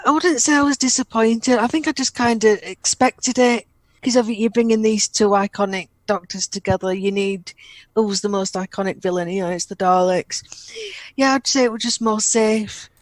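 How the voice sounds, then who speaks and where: A woman co-hosting a casual podcast chat, her voice at an ordinary pitch. She works her way through her thoughts with ums and false starts. The sound is close and dry, with no room echo. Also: steady tone, normal speed. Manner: hesitant, with fillers and restarts. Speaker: podcast co-host.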